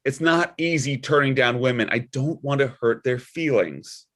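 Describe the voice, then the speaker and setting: Actor playing a sheepish, affable older deacon. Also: male voice, medium pitch, moderate pace, fairly bright tone, lightly acted. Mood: sheepish, defensive. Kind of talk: comedy script table read.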